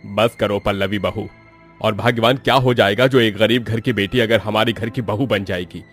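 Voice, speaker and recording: A man's voice: lowish voice, fairly even intonation, normal speed, breathy edge, faint music behind. Man as a firm, kindly wealthy father. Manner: firm, courteous. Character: kindly, reasonable rich middle-aged father. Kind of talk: Hindi cartoon story acting.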